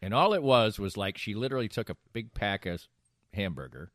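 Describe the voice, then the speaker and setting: A man's voice: on the low side, lively intonation, a little fast, fairly bright tone, no room sound, clean studio sound. A middle-aged man in casual banter, rambling and emphatic, often repeating himself as he goes. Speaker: radio show and podcast host.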